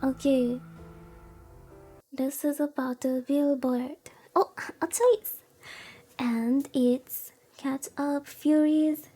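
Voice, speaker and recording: A woman's voice: high voice, drawn-out words, long pauses, dark tone, faint music behind. A woman VTuber livestreaming a visual novel, chatting casually, rambling and reacting aloud as she reads along. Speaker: VTuber streamer.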